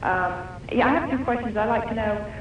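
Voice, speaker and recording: Male radio caller, high-pitched, courteous and halting, roomy recording with faint noise. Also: far from the mic, lo-fi sound. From northern Alabama, United States. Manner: hesitant, polite, filler-heavy. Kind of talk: casual, polite call-in question.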